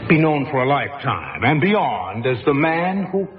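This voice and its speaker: An intense, envious would-be killer played by a male actor in a melodramatic radio play. His pitch swings widely with bitterness and menace. The sound is dull and lo-fi, with music clearly playing behind him. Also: very animated, normal speed, close to an everyday voice. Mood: menacing envy. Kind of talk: radio drama acting.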